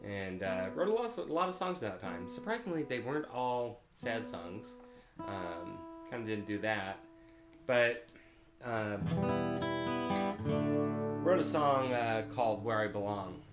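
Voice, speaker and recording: A man's voice: fairly low pitch, animated, a little slow, long pauses. A man hosting a live music stream, chatting easily and rambling with his viewers between songs, picked up by a mic a few feet away. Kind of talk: casual chat between songs. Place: home room in California. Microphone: open-air mic three or four feet away. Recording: roomy echo from a distant open mic.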